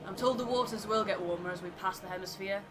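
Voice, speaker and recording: A woman voicing a thoughtful, wary first mate who narrates a ship's log in a measured, literary way for a game. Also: mid-range voice, over music, lightly acted. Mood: reflective, wary.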